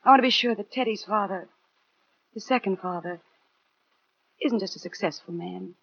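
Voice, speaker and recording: An actress playing a careful, gentle young mother in a 1940s radio drama. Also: mid-range voice, moderate pace, gravelly, breathy edge. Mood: hesitant, earnest. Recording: dull vintage 1940s radio recording.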